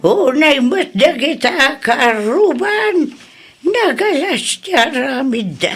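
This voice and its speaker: A woman acting as an elderly grandmother in an Ilocano radio drama. She speaks very high and very slowly, drawing out her words with protective feeling and ready indignation. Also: animated, fairly bright tone, soft background music, heavily acted. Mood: indignant, hurt.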